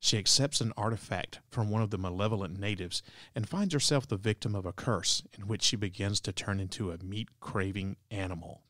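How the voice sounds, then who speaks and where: A man's voice: fairly low pitch, fairly quick, few pauses, a bit bright, no room sound. A man hosting a podcast, reading a scripted introduction into a close microphone. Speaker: podcast host. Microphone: close microphone, dry recording.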